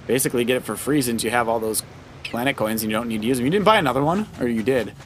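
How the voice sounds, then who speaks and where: A man's voice: mid-range voice, racing, noisy background. A man, middle-aged, giving casual let's play commentary in a playful, coaching way as a father guiding his child. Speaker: father guiding child through a video game.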